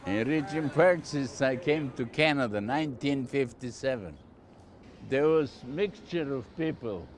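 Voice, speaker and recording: A man's voice: mid-range voice, unhurried, slight background noise. An older man from Bulgaria with a Bulgarian accent, telling anecdotes casually and plainly as a shopkeeper being interviewed. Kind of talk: casual oral storytelling.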